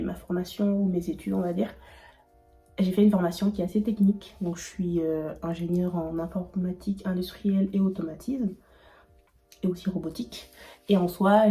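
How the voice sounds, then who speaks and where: A woman's voice: on the low side, dark tone. A young woman in her twenties with a cold, chatting casually while doing her makeup, rambling with many fillers and restarts. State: has a cold. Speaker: beauty vlogger.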